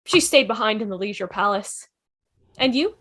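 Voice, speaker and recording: A woman's voice: mid-range voice, animated, normal speed, fairly bright tone, pitched below the performer's own voice. A woman playing a breezy, curious space traveller with an upbeat, chatty manner in a sci-fi table read.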